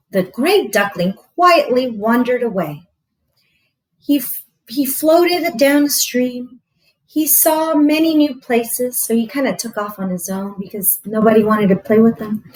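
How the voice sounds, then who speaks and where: Lady teacher reading a kids story, highish voice, super expressive, explains stuff as she goes. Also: low-quality recording. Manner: expressive, explains to young listeners. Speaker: read-aloud teacher. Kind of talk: storybook read-aloud for children with simple asides.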